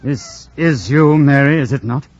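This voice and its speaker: An actor playing an anguished, passionate blind master in a 1940s radio drama, with halting, fervent delivery. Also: male voice, fairly high pitch, no room sound, natural voice. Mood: agitated, suspicious. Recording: dull vintage radio recording.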